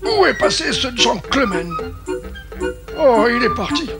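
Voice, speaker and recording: A man's voice: very high voice, sing-song, unhurried, over loud music, full character voice. A man voicing a dotty, polite old explorer professor in a French cartoon dub, fussy and stammering. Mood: absent-minded, musing. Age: older.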